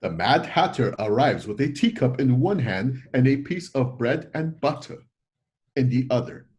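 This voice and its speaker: A man's voice: mid-range voice, animated, moderate pace, a little mellow. A man narrating the scenes of a classic story as scripted stage directions.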